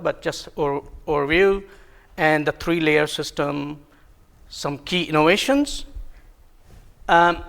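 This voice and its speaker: A man whose first language is Hindi, giving a technical talk at a conference, halting with many ums and self-corrections and a bit of self-deprecating humor. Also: on the high side, vocal fry, fairly bright tone. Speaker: conference presenter. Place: conference room.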